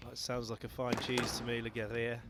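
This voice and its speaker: A man giving casual, joking commentary on a squash match over loud court noise. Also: mid-range voice, monotone, fairly quick. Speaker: sports commentator. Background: loud ball hits and court clatter.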